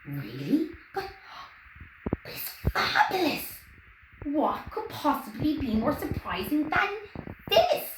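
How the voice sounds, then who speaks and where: A woman plays a wide-eyed young storybook boy who exclaims in wonder. The voice is high and swoops up and down, heard in a roomy, echoing space. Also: wildly swooping pitch, slow pace, exaggerated voice. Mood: amazed. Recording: roomy echo, background noise.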